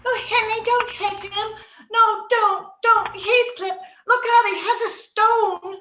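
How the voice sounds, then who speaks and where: A woman's voice: very high-pitched, steady tone, slow, pushed and bright, exaggerated voice. A woman playing a willful, romantic young girl in an old-time radio drama, earnest and coaxing. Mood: alarmed pleading. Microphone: remote video call. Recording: roomy, muffled home video-call recording.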